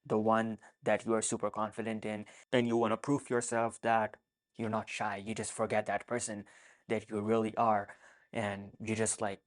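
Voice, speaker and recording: A young man in his twenties, from Pakistan, answering viewer questions in a soft-spoken, earnest vlog. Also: on the low side, monotone, moderate pace. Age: 20s. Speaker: vlogger. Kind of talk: casual Q and A monologue.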